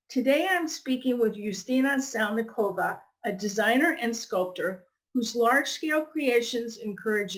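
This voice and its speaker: A woman hosting a podcast, reading a scripted introduction and asking interview questions in a measured, clear way. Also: a little high, poor audio. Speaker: podcast host.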